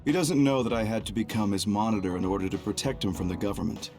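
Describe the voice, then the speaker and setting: An actor playing a stern, calm military commander and scheming reformer in an English game dub, speaking formally and deliberately. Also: male voice, fairly low pitch, natural voice. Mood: grave, resolved. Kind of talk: English-dubbed video game cutscene acting. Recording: music behind the voice.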